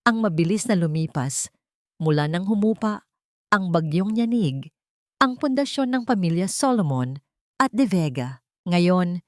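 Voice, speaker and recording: A woman's voice: on the low side, a little mellow, no room sound, clean studio sound. A woman with a Filipino accent reading a scripted dramatic story as an audiobook narrator.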